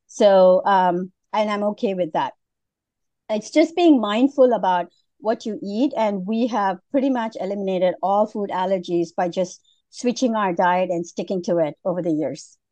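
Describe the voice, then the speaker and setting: Middle-aged woman from Malaysia, guest, unhurried with fillers, dry close sound. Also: medium pitch, warm tone, dry recording. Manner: unhurried, many fillers and restarts. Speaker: guest, food business founder. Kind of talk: casual interview.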